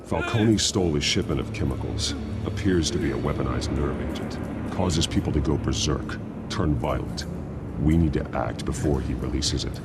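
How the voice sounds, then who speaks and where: Super deep gravelly dark vigilante for a game, clipped and threatening, loud music under it. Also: male voice, normal speed. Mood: stern, controlled. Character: grim, menacing masked male vigilante. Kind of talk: dramatic video game acting.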